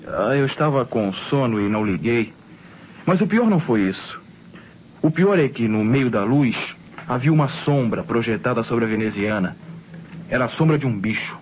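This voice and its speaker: A man's voice: mid-range voice, expressive, normal speed, natural voice. An actor playing an insistent, convinced boy with a Brazilian accent in an old radio drama. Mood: recounting eagerly. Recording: dull, noisy old radio recording.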